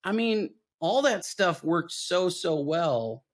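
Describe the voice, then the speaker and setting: A man with a slightly high voice talks through films as a podcast host. He explains his points casually but with animated emphasis, in a conversational flow. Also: takes it slowly. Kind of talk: casual film discussion.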